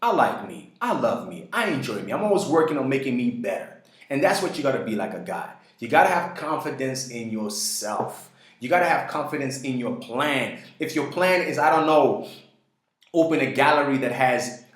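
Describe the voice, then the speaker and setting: Emphatic man in a roomy echo. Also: mid-range voice. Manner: emphatic, preachy, repeats phrases. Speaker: self-improvement vlogger. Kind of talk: motivational monologue.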